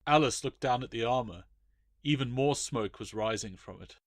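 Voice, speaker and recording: A man's voice: mid-range voice, moderate pace, dry recording, studio quality. A man reading his own short story aloud as a dramatic, scripted narration.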